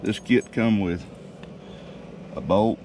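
A man, casually explaining a how-to job in a driveway with a relaxed, folksy manner and many fillers. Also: lowish voice, slow. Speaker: DIY video host. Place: outdoors in a driveway. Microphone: camera mic. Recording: distant outdoor camera mic with noise.

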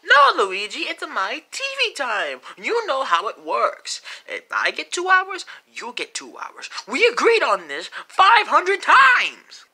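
Guy doing a stubborn whiny cartoon plumber, super swoopy pitch, bright edgy voice, fed up with his brother. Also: sing-song, moderate pace, exaggerated voice. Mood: exasperated. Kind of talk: amateur comedy skit character acting.

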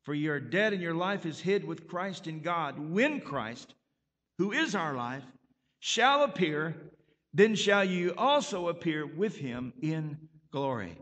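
A man's voice: fairly high pitch, lively intonation, slow, a bit bright. A man preaching a sermon as pastor, emphatic and punctuated with frequent amens. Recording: long reverb of a church hall.

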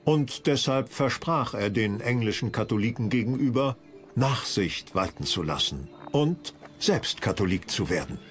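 A man's voice: medium pitch, animated, measured pace. A man reading scripted narration for a history documentary.

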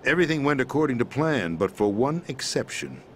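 An actor playing a middle-aged president in a video game, explaining a conspiracy in a measured, grave manner. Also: male voice, medium pitch, very animated, fairly bright tone, slight background noise. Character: calm, grave middle-aged man, president briefing via codec. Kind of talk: video game cutscene voice acting.

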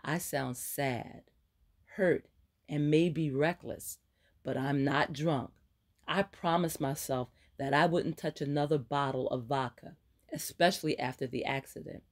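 Subdued, quiet young woman surgeon, sad and reckless, slow delivery, voiced by a reader. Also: mid-range voice.

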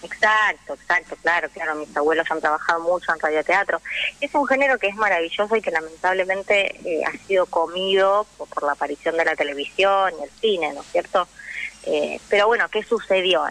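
A woman's voice: on the low side, pushed and bright, low-quality recording. A woman with a Rioplatense Argentine accent, speaking conversationally and explaining at length as a guest in a radio interview. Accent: Rioplatense Argentine Spanish. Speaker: guest, actress and radio drama teacher.

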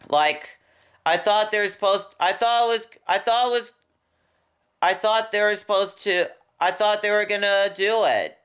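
A young man talking casually and unscripted to a camera in a bedroom, halting and repeating his phrases. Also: sits high, fairly bright tone, clean tone. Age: young adult. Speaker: vlogger. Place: small furnished bedroom, indoors. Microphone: camera at about arm's length.

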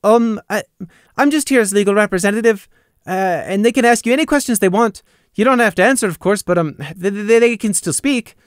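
Man doing a timid stammering mare, high, hesitant, dry. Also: brisk, smooth, dry recording, close to an everyday voice. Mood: flustered. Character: flustered timid mare legal representative.

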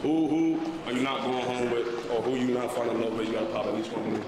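A young man hosts a dating game with a handheld mic, prompting in a loose, slangy way and repeating his phrases. His voice rings around a big bare studio. Steady loud noise runs under the whole recording. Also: mid-range voice, fast talker, reverberant, long reverb tail. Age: young adult. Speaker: host. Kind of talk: casual dating game hosting.

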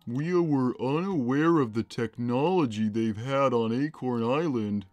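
A man voicing a huge, gentle, simple-minded cat who talks plainly and innocently on a gaming livestream. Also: mid-range voice, no echo, highs cut off, faint music behind, lightly acted. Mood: placid. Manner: plain, slow, naive, reads chat messages aloud. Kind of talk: in-character gaming livestream chat.

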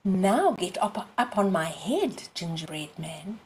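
A sly storybook fox, played by a woman reading aloud, coaxing and persuasive. The words come slowly, with faint noise in the background. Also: lowish voice, big pitch swings, darkish tone, poor audio. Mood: sly persuading.

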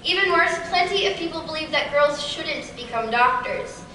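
A teenage girl giving a prepared keynote talk in a large auditorium, with a steady, rehearsed delivery. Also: high-pitched, moderate pace, a little hiss or hum. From Kansas City area. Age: teens. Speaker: keynote speaker. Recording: echoey hall from far off.

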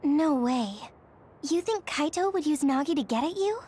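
A woman's voice: high voice, animated, normal speed, mellow. An actress playing a worried young female soldier who speaks in a concerned, alert way. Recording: game cutscene with music behind.

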